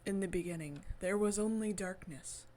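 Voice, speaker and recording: A woman's voice: mid-range voice, normal speed, dark tone. A woman hosting a game stream, reading story text aloud dramatically with giggly, enthusiastic asides. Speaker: gaming channel host streaming a visual novel.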